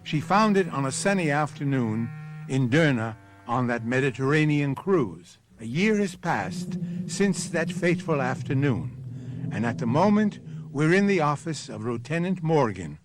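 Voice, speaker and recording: A man hosting and narrating a radio mystery drama in a deliberate, dramatic scripted style. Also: a little high, very animated.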